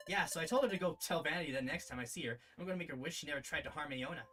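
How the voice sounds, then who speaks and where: A man's voice: fairly high pitch, very fast, bright, forceful tone, soft background music. A man voicing a cheerful, boastful young female character who chatters eagerly while reading a visual novel aloud. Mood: excited.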